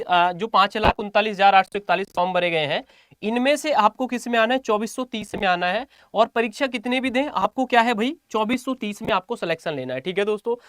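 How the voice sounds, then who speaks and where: A man's voice: high-pitched, a bit bright, dry recording, clean studio sound. A man giving an informal exam-update lesson to students as a coaching teacher, repeating phrases for emphasis.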